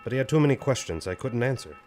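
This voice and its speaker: A man's voice: mid-range voice, expressive, fast, a little mellow, faint music behind, lightly acted. A man acting in an audio drama as an anxious, protective male professor, questioning and uneasy. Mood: worried.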